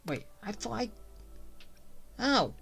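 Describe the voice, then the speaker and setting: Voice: medium pitch, big pitch swings, slow pace, gravelly, a bit bright, slightly rough, faint music behind. A game streamer giving casual, rambling and grumbling commentary while playing. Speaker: gaming streamer.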